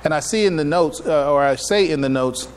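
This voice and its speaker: Halting male lecturer, noisy live recording. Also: fairly high pitch. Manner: halting, deliberate, often repeats and restarts phrases. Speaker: imam giving a lecture. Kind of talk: public religious lecture, partly reading from notes. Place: live public address in a room.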